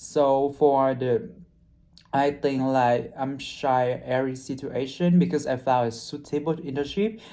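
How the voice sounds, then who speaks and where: A young man, not a native English speaker, talking through his hospitality internship in a halting way, with many restarts and fillers as he searches for words. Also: mid-range voice, fairly even intonation, mellow. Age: young adult. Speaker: hospitality trainee. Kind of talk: unscripted personal video reflection.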